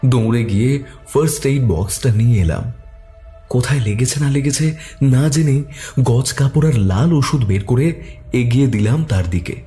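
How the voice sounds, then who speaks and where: Mellow-voiced male story narrator. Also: medium pitch, moderate pace, faint music behind. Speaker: narrator and detective's companion.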